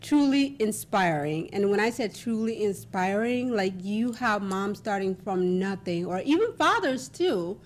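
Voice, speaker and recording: A woman hosting a talk show in an informal, encouraging, conversational way over a rumbly background. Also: animated, unhurried, few pauses, slight background noise.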